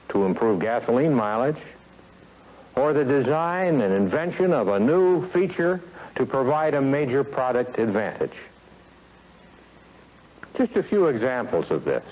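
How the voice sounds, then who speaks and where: An American man delivering a scripted sales training lecture as a company executive, in a measured, formal manner with emphatic phrasing and deliberate pauses, on a 1970s film soundtrack. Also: mid-range voice, a little mellow, poor audio.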